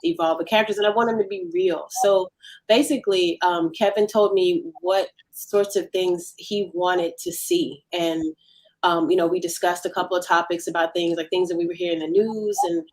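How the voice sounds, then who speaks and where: Woman with a slightly low voice. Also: fairly even intonation, moderate pace, a little mellow, rough recording. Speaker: playwright and actor, interview guest. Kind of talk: interview answer, casual storytelling.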